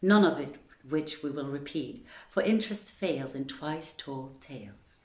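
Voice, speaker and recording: Low-voiced woman reading in an echoey room. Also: lively intonation, a little slow. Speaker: reader of stories to an online audience. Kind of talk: literary storybook reading with chatty greeting.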